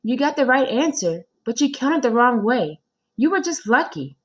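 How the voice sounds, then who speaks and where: A woman voicing a female schoolteacher in a children's storybook, patient but firm, correcting and instructing in a measured manner. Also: high-pitched, big pitch swings, husky, voice pushed up, heavily acted. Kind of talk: children's storybook read-aloud.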